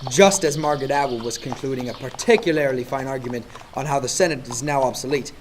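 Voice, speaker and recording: A man's voice: medium pitch, fast, slight background noise, lightly acted. A man playing an earnest, over-polite Canadian policeman who narrates a comic radio play in a mock-serious way. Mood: mock-serious narration.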